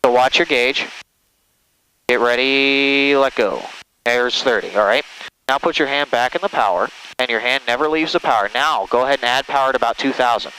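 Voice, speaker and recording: A man's voice: mid-range voice, moderate pace, sharp tone. A man giving a hands-on flying lesson over a headset, coaching step by step, reassuring and often checking that the student follows. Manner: reassuring, repeatedly checks understanding with short tag questions. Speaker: flight instructor. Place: inside a small airplane in flight. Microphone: aviation headset. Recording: noisy small airplane cockpit, engine and radio. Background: aircraft engine drone, radio.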